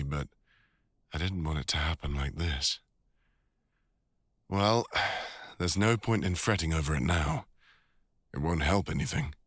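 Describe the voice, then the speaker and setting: A man with a deep British voice playing a devoted, teasing older boyfriend and father in an intimate ASMR audio roleplay. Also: a bit bright, husky, no echo, studio quality, heavily acted. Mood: tender, apologetic.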